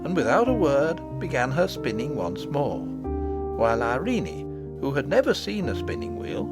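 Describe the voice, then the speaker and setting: A woman narrating an audiobook bedtime story in a scripted storytelling style. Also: a bass voice.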